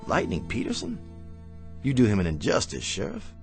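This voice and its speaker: Sly miracle-worker con man, low and swooping voice, mock-polite, radio drama, music behind. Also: huge pitch swings. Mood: insinuating, mocking. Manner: courteous, insinuating, drawn-out mock politeness.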